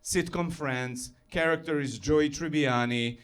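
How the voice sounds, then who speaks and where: A man with a Serbo-Croatian accent doing a self-deprecating stand-up set on stage, building to yells. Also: fairly high pitch, a little slow, smooth. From former Yugoslavia. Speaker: stand-up comedian.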